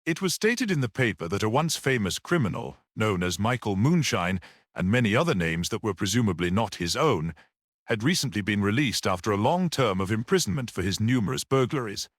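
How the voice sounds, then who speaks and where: A man reading a literary short story aloud as an audiobook narrator in an expressive narrating style. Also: fairly low pitch, brisk, dry recording, studio quality.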